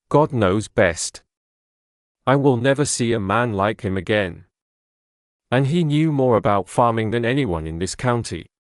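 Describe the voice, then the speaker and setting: Brief: narrator doing an old farmer, slow and fatherly, dry close sound. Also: mid-range voice, no echo, close to an everyday voice. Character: kindly older male farmer, gruff but fond.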